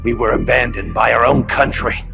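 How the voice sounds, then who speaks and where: A man's voice: mid-range voice, flat delivery, normal speed, gravelly. An actor playing a bitter, defiant male Soviet soldier, speaking in impassioned speeches in a video game cutscene. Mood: defiant, fervent. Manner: impassioned, declamatory. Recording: dull lo-fi game capture. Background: loud music.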